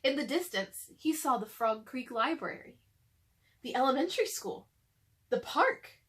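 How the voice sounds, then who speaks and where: A woman's voice: high-pitched, very animated, a little slow, fairly bright tone. A woman hosting a children's read-aloud, narrating a storybook expressively.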